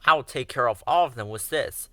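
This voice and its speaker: A man's voice: mid-range voice, normal speed, pushed and bright, no room sound. A man voicing a menacing male diesel engine villain that growls and sneers.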